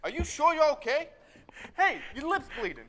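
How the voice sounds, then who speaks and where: Hearty folksy cop for a stage radio play, played by a young man: high and lively. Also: normal speed, full character voice. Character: friendly small-town male cop. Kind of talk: live radio play acting.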